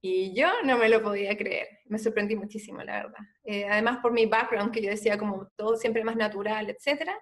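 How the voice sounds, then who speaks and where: A woman's voice: medium pitch, steady tone, fairly quick, hardly any pauses. A woman from Chile with a Chilean Spanish accent, a doctor guest telling her story in an earnest, conversational way with many "eh" fillers.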